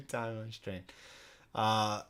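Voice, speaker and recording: A man hosting a solo board game stream, talking informally, thinking out loud and often repeating himself as he plays. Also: lowish voice. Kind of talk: casual live stream commentary while playing.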